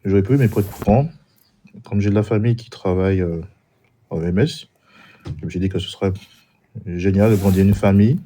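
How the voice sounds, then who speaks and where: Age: adult. Sex: man. Origin: Martinique. Role: roleplay job applicant. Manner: hesitant, restarts often. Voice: low-pitched, dark and mellow. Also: fairly quick, long pauses, slight background noise. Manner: hesitant, many fillers and restarts. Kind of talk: in-character job interview.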